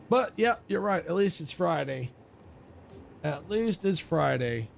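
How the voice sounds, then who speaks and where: Tired raspy man streaming a game. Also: medium pitch. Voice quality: raspy, gravelly. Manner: mutters to himself, self-critical, rambling. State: tired, yawning. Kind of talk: casual live-stream chat while building. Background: game music.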